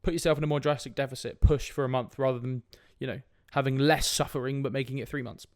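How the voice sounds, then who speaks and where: A man's voice: medium pitch, fairly even intonation, fast talker, no room sound, studio quality. A young man with a sniffly cold, hosting a casual solo fitness podcast in a direct, laid-back way with frequent "right?" asides. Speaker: fitness coach podcast host.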